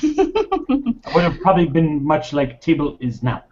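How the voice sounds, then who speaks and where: A man's voice: fairly high pitch, wildly swooping pitch, measured pace, darkish tone. A man playing a dry, courteous male bar owner who speaks in clipped phrasing with dropped articles, over lo-fi voice chat. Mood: dry, amused.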